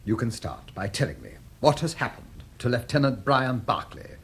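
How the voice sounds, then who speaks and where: An actor playing a stern British diplomat in a radio drama, with a British accent and a formal, clipped, admonishing manner. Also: male voice, medium pitch, very animated, moderate pace, breathy edge, exaggerated voice. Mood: stern warning.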